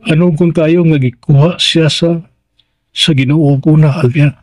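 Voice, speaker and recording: An actor playing a gentle, grieving widowed master of the house in a Filipino radio drama, halting and sentimental, with a Visayan accent. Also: male voice, fairly high pitch, fairly even intonation, dry recording. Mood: grieving, confiding. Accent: Visayan Philippine.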